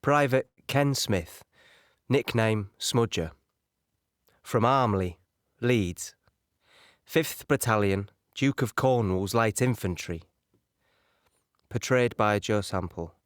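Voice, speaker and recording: Slow, smooth, low-voiced man. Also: no echo, clean studio sound. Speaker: actor announcing a character. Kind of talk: scripted cast introduction.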